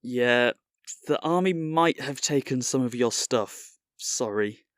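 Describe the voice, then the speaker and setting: An actor playing a sheepish, guilt-ridden colleague in an audio drama, speaking with hesitant stammers. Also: male voice, medium pitch, slow, dry recording, clean studio sound, lightly acted. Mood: contrite.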